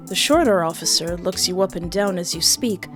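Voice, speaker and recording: A woman's voice: on the low side, normal speed, music in the background. Playful woman doing a let's-play. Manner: playful, expressive. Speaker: game streamer reading the narration aloud. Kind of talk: playful let's-play commentary and read-aloud narration.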